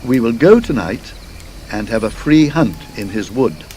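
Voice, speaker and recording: Man voicing a slow, grave Swedish innkeeper. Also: mid-range voice, lively intonation, darkish tone, a little hiss or hum, close to an everyday voice. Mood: grave, reluctant. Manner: slow, halting, emphatic. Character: Swedish innkeeper, reluctant, grave, warning storyteller.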